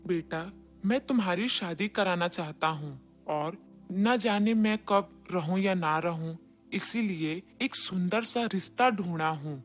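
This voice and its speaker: A woman voicing a gentle, earnest ageing father in a storybook cartoon. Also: on the low side, slow pace, soft background music. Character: gentle ageing father anxious to marry off daughter.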